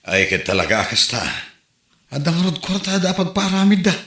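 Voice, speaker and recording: A man's voice: on the high side, sing-song, moderate pace, fairly bright tone. A man with a Filipino accent playing an easygoing village husband in casual, coaxing comedy drama dialogue. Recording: echoey room recording.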